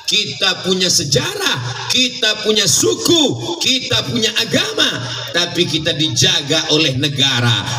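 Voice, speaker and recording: High-voiced man rousing a crowd. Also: sing-song, a little slow, pushed and bright. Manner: rousing, calls out questions for crowd responses. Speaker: Islamic preacher. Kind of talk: sermon to a crowd. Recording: echoey live recording from far off with crowd and music.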